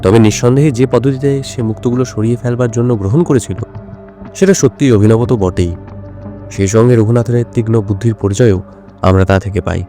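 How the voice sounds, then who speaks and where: A male actor playing a shrewd, self-assured detective in an audio drama, speaking in a measured, explanatory way. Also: medium pitch, mellow, soft background music, close to an everyday voice. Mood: measured, deductive. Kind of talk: Bengali audio drama dialogue.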